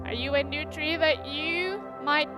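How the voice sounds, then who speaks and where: High-voiced woman coaching a movement class. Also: a little slow, over music. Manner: encouraging, giving playful prompts. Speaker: movement workshop facilitator.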